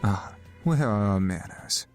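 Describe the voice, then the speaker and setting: A man's voice: fairly low pitch, animated, unhurried, a little mellow, faint music behind. A man voicing a polite, formal young male incubus introducing himself in a visual novel game.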